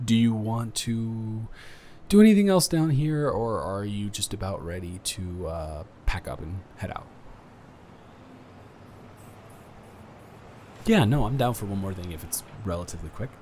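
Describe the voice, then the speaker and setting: A young man playing an earnest, awkward college student smitten with a dormmate, rambling and halting in an intimate roleplay audio drama. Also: lowish voice, animated, dark tone, a little hiss or hum, natural voice. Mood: gentle, considerate. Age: young adult.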